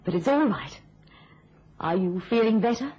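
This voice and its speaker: Prim, husky middle-aged spinster. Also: female voice, mid-range voice, lively intonation, normal speed, darkish tone. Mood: solicitous, controlled. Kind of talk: mid-century radio drama acting. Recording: muffled old-time radio recording.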